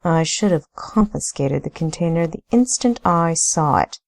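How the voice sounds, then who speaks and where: A woman's voice: sits low, expressive, a little slow, creaky, darkish tone, exaggerated voice. A woman voicing a brooding, self-blaming older brother and husband who talks in a ponderous, gloomy way.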